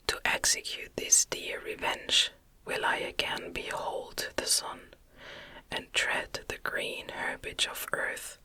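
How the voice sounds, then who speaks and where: A woman softly reading a gothic novel aloud as ASMR, in a breathy, gentle, soothing whisper. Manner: gentle, soothing, deliberate storytelling. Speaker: ASMR narrator reading an audiobook.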